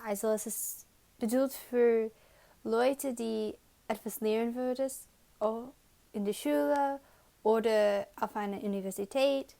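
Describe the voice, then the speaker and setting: Slow, halting young woman with English accent. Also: fairly high pitch, creaky, dark tone. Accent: English-speaking learner of German. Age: young adult. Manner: slow and halting, with pauses and self-corrections. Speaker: student channel host. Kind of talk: casual study-tips vlog.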